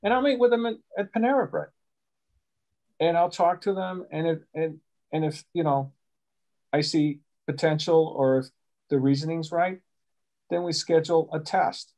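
A man in his sixties from Chicago, a guest photographer giving measured, rambling answers over a webcam video call from a small room at home. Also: a little high, a little mellow. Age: 60s. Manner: measured, explanatory, rambling asides. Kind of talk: casual interview answers.

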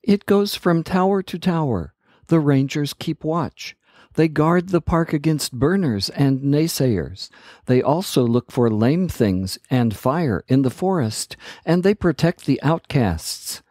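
A man's voice: a little high, expressive, slow pace, darkish tone, dry recording, clean studio sound, voice pushed up. A man voicing a brave, spirited young princess in a storybook reading, speaking earnestly and with eager urgency. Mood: explaining earnestly. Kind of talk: storybook character voice.

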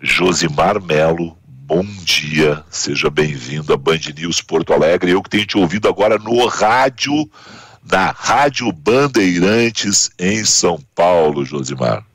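A man in his thirties with a Gaúcho accent hosting a radio interview, chatty and animated, full of tag questions. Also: low-pitched, unhurried, breathy edge. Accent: Gaúcho Portuguese. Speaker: radio host. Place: radio studio. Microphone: studio mic with headphones.

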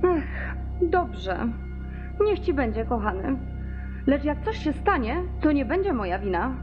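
An irritated, reluctant lady in a fantasy audio drama. Her voice is high and very animated, in a slightly dull recording with music clearly behind it. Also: a little slow. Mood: irritated resignation.

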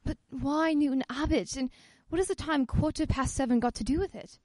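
Woman playing a high-voiced, inquisitive wife. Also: a little fast, darkish tone, dry recording, lightly acted. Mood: intrigued, earnest. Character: inquisitive, persistent wife, suspicious yet affectionate. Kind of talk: radio play acting.

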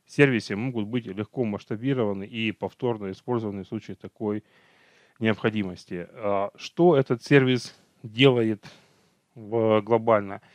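A man's voice: fairly low pitch, fairly even intonation, normal speed, no echo. A man teaching an online technical training over slides, talking unhurriedly, with hesitations and self-corrections.

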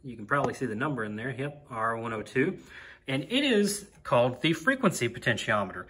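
Bright-voiced, lively man explaining gear. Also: mid-range voice, a little fast, slightly rough. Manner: informal, explanatory. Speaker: hobbyist demonstrating siren equipment. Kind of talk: casual hands-on technical demo. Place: on a concrete floor beside open equipment.

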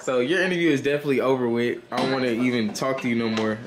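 A nineteen-year-old man chatting casually into a handheld camera at home, excitable and slangy with loud bursts. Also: mid-range voice, very fast, background noise. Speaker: vlogger. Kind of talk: casual vlog chat. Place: house interior.

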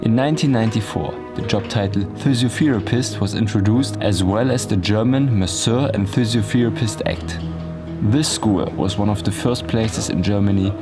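Low male documentary narrator over music. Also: moderate pace. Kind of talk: scripted English voice-over narration.

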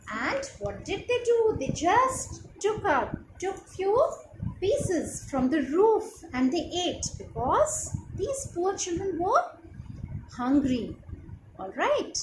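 A young woman with an Indian accent tells a moral tale to children in a high, very lively voice. She takes it slowly and keeps asking questions. It was recorded in an echoey room at home. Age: young adult. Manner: expressive and didactic, often asking questions to child listeners. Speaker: storyteller for children. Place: small room at home, indoors. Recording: roomy echo with clear background noise.

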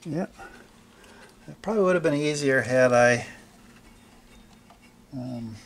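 An older man casually narrating a hands-on repair, thinking aloud in a halting, unscripted way. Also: mid-range voice, vocal fry. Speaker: hobbyist restorer presenting a repair video. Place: indoor basement-like home workshop.

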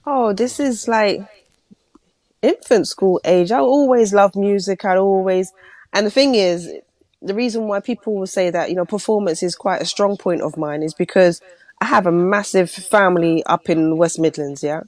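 Woman from London, a singer who is a bit under the weather, telling her story in a mid-pitched voice on a dry mic. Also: moderate pace, a little mellow, dry recording. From London, England. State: unwell. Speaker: guest singer. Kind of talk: casual interview chat.

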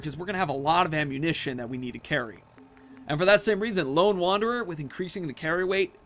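A man in his thirties giving chatty, animated Let's Play commentary, telling a roleplay story and stressing key words. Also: sits high, a little hiss or hum. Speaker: gaming video host.

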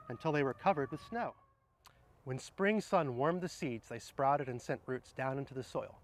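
A middle-aged man with a slightly higher-pitched voice shares children's picture books outdoors. He talks straight to the viewer in a gentle, explanatory manner, suited to reading aloud and casually recommending books. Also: slight background noise. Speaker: horticulturist presenting children's books. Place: outdoors under trees in an arboretum garden.

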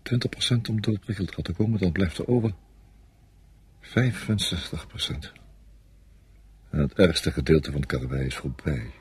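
An actor playing a confident, coldly calculating senior French army officer in a radio play, speaking with matter-of-fact authority. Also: male voice, sits low, moderate pace, pauses often, breathy edge, no echo. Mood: coolly calculating. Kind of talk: Dutch radio play acting.

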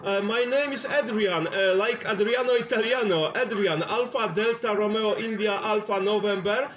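A man's voice: high voice, moderate pace, lo-fi sound. A man from Poland with a Polish accent chatting as a radio operator, repeating phrases and numbers for emphasis. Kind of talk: radio contact chat. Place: indoors at a radio transceiver.

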